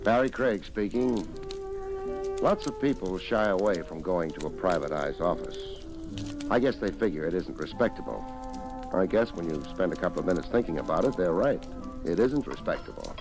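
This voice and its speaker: An actor playing a world-weary, wry private investigator in an old radio drama, hardboiled and deadpan. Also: male voice, low voice, normal speed, music in the background. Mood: philosophical. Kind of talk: old-time radio detective narration.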